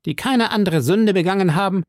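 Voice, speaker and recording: A man voicing a boisterous, hot-headed musketeer with a loud, exclaiming manner in an audiobook story. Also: sits high, a little fast, dry recording, clean studio sound, voice pushed up. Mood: indignant.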